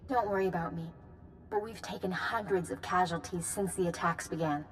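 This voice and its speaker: Woman acting a low-voiced, composed Jedi commander. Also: a little fast, a little hiss or hum, natural voice. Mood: urgent, resolute. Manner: formal, composed, briefing. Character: female Jedi Knight commander, calm, grave, resolute. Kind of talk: scripted game dialogue.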